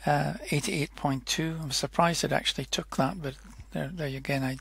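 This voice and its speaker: A man narrating an informal technical tutorial in a hesitant, halting way, often correcting himself as he explains. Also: fairly high pitch, fairly even intonation, creaky, fairly bright tone. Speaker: software demonstrator.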